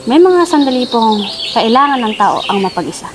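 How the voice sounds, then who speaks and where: A woman's voice: on the high side, big pitch swings, fairly quick, noisy background. A woman playing a polite, pensive young nephew in a radio drama, earnest and respectful. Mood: earnest, curious.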